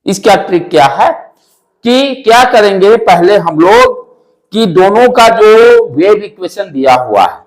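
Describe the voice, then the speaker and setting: Indian guy giving a physics class lecture, voice a bit high, repeats key phrases, drops in english bits. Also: low-quality recording. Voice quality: loud voice. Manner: poses questions and answers them, repeats key phrases. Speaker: physics teacher.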